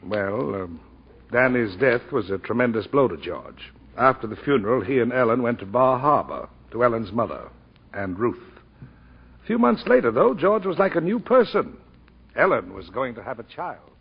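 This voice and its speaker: An actor playing a sober lawyer recounting a tragic story in measured, dramatic 1940s radio style. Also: male voice, medium pitch, sing-song, vocal fry, slightly rough, heavily acted. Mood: grave. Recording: muffled, reverberant 1940s radio with music.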